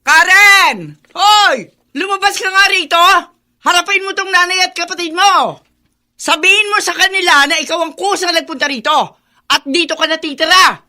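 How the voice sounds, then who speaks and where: Domineering radio drama aunt, confrontational, taunting, high, sharp forceful voice. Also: female voice, moderate pace, heavily acted. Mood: hostile, commanding. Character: hostile, domineering aunt guarding her house.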